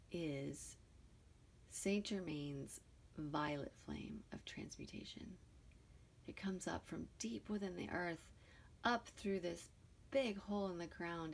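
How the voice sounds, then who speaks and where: A woman leading a guided meditation in a soothing, deliberate way, with soft, breathy phrase endings and pauses between short phrases. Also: on the low side, slight background noise.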